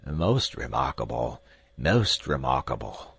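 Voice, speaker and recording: A man voicing an absent-minded elderly professor who speaks softly and slowly, muttering to himself. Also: extremely low pitch, big pitch swings, gravelly, husky, dry recording, voice pushed down, full character voice. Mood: mild, muttering. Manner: soft, slow, mumbling to himself.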